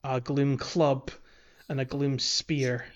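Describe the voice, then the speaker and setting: A man's voice: medium pitch, flat delivery, slow. A man co-hosting a casual gaming podcast, explaining things agreeably on a slightly dull recording. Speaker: podcast co-host.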